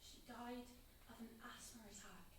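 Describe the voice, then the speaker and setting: Actress as high-voiced, blunt young woman, echoey. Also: fairly even intonation, unhurried, natural voice. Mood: hurt, remorseful. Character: Hannah, guilt-ridden young woman, blunt and bitter, reserved. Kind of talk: naturalistic stage drama acting. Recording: very echoey room, distant mic, noisy.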